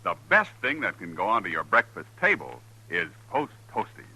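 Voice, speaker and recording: A man's voice: low voice, big pitch swings, moderate pace, bright, forceful tone, breathy edge, poor audio. A man announcing a vintage radio commercial with punchy, folksy salesmanship.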